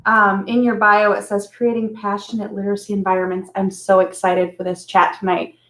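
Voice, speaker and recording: A woman's voice: medium pitch, little pitch movement, a little fast, a bit bright. A woman asks questions as a co-host in a friendly, upbeat tone. Her voice carries the echo of a roomy space. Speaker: co-host moderating the chat.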